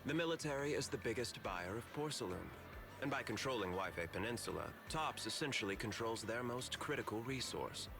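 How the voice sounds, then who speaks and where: A courteous, politically shrewd corporate manager from a video game, acted by a man. His delivery is measured and polite, yet the pitch moves expressively through each explanation. Music plays clearly behind him. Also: lively intonation, lightly acted. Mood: composed, explanatory.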